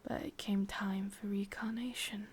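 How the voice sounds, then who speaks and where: A woman with an English accent playing a lovelorn, obsessive immortal witch in a breathy, intimate whispered ASMR roleplay. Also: medium pitch, flat delivery, a little hiss or hum, close to an everyday voice. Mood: wistful, yearning. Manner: slow, hushed, intimate delivery with pauses.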